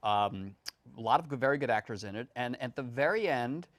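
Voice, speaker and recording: A man hosting a talk show, warmly prompting his guest with admiring questions. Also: mid-range voice, animated, fairly quick, no room sound. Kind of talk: casual interview chat.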